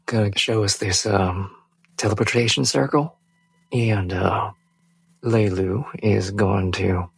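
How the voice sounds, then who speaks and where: A man playing a weary, weakened adventurer who speaks haltingly and politely in a husky voice. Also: lowish voice, dry recording, full character voice, rough recording. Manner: halting, hesitant, slow.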